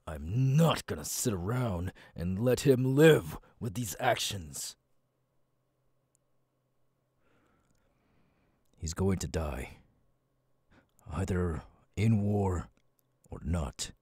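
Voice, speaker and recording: A man acting out a brooding, battle-hardened army captain for an ASMR roleplay. His voice is extremely deep and husky, with slow, deliberate phrasing. It is recorded dry and intimate. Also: animated, a little mellow, no echo, heavily acted. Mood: angry, vengeful. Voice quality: husky, breathy. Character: stoic, battle-hardened male military captain, brooding and vengeful. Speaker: voice actor, ASMR artist. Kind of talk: ASMR audio roleplay, dramatic monologue.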